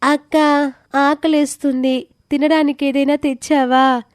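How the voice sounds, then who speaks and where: Woman doing small hungry girl, high childlike voice, eager, moral story, dry sound. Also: little pitch movement, measured pace, no room sound. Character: hungry little sister, young girl, eager.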